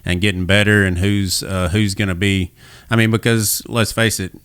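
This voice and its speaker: A male podcast host with a low, clean, resonant voice. He talks sports casually, haltingly working toward each point. The recording is dry and close. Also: level tone, fairly bright tone, clean tone, no echo.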